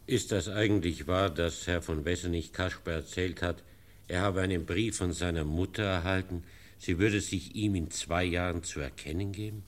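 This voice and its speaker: A man playing a dignified, sober gentleman in a radio play, with a formal manner. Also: deep voice, steady tone, moderate pace, lightly acted. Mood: grave. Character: grave, dignified gentleman of standing, sober and questioning.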